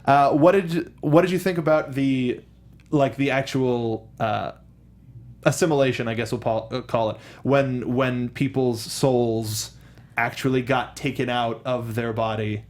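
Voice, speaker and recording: A man hosting a film discussion show, chatting casually in a halting, jokey way. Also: mid-range voice, fairly even intonation, darkish tone. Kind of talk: casual panel chat about movies.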